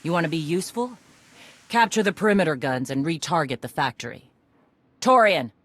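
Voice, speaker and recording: An actor playing a tough, no-nonsense warrior clan leader in a video game, giving blunt orders. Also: male voice, on the high side, lively intonation, fairly quick, a bit bright. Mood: commanding. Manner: blunt, commanding.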